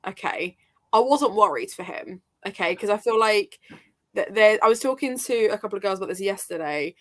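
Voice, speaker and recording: Chatty, emphatic woman in casual book talk. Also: fairly low pitch. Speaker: book readalong panellist. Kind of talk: casual chat about books.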